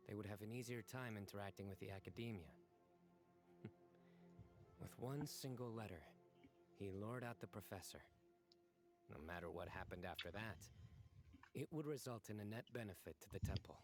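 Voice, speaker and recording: An actor playing a stern, composed young general in a video game, speaking in a measured, formal and deliberate way. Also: male voice, fairly low pitch, soft background music, lightly acted. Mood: thoughtful, reasoning. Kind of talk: scripted video game dialogue.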